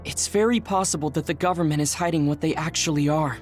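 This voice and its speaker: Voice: medium pitch, fast talker, soft background music, close to an everyday voice. A performer playing a sincere young platoon member in a video game dub, polite and reflective. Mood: calm, reflective.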